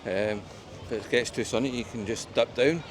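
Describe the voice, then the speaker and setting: A man's voice: medium pitch, moderate pace. A man with a broad Scottish accent chatting casually as he walks along a seaside promenade, rambling with plenty of ums, wee and aye. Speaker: travel vlogger. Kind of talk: casual walking-tour vlog. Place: busy outdoor seaside promenade. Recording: noisy outdoor crowd with music. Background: crowd chatter and festival music.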